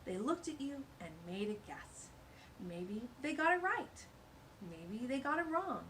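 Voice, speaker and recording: A woman's voice: sits high, a little slow, slight background noise, low-quality recording. A woman reading a picture book aloud to young children, with sing-song delivery and chatty asides about the pictures. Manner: warm, animated, sing-song storytelling with asides. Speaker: children's storytime reader.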